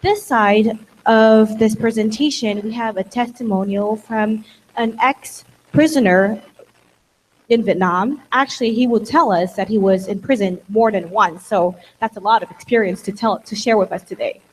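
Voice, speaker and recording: A woman's voice: mid-range voice, moderate pace, slight background noise, poor audio. A young woman moderating a conference talk with a handheld mic, friendly, and often summing up what her guest says. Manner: friendly, rephrasing and summarizing. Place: dark conference hall stage.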